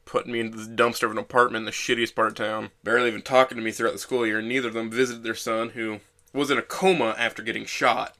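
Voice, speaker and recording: An actor playing a bitter, self-loathing young trauma survivor, narrating an audio drama in the first person with a brooding manner. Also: male voice, mid-range voice, flat delivery, fast talker, bright, forceful tone.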